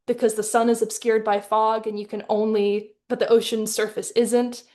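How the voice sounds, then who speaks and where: Higher-pitched woman, thoughtful and measured. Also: darkish tone. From Hong Kong. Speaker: artist in residence presenting her animated film. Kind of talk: prepared artist talk, partly read. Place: live online webinar. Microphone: video call.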